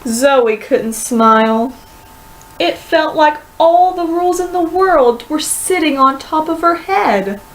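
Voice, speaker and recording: A woman narrates a children's storybook in an expressive read-aloud style. Her voice sits a little high and she takes her time, phrasing very slowly and dramatically. Faint noise runs behind the recording. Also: low-quality recording. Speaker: storybook reader.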